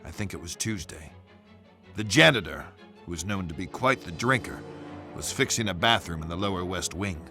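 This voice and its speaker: An actor playing a world-weary, suspicious police detective in a video game, blunt and deliberate with a folksy, hard-boiled manner. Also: male voice, sits low, expressive, sharp tone, soft background music, heavily acted. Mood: brooding narration. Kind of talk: video game cutscene acting and noir-like narration.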